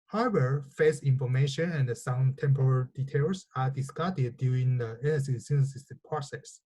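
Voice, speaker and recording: A man's voice: a little high, dark tone, husky, low-quality recording. A man who is a native Chinese speaker narrates a scripted technical lecture in a methodical, formal manner, occasionally stumbling over words. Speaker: researcher presenting a technical lecture.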